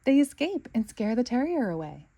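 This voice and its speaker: A woman reading a children's storybook aloud with expressive narration. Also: on the high side, moderate pace, husky. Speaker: storybook reader.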